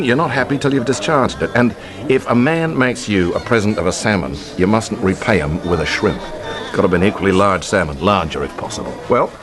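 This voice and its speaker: A man's voice: lowish voice, close to an everyday voice. A male actor playing a brash, hard-drinking, cynical Australian man in a radio play, with blustery slangy talk. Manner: blustery, slangy, chuckling, swearing. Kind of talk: BBC radio play acting. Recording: radio play with loud music underneath. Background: orchestral film soundtrack, city and vehicle sounds.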